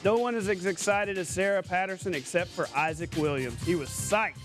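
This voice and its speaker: High-voiced male sports anchor. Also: a little fast, over music. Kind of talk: scripted TV sportscast narration over highlights.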